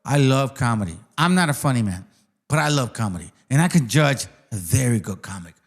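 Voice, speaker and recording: A man ranting aggressively and profanely, repeating himself, his voice swinging between loud outbursts and mutters. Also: mid-range voice, expressive, fairly quick, vocal fry. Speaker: ranting commentator. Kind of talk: profane rant.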